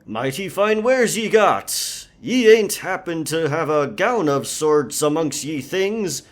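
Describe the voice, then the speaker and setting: A man voicing a knight who poses as a hearty pirate, with an exaggerated stage pirate accent, loud and hammy, in a roleplay audio drama. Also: on the high side, expressive, slow pace, pitched above the performer's own voice. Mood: overdone, awkward. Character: knight clumsily posing as a hearty male pirate.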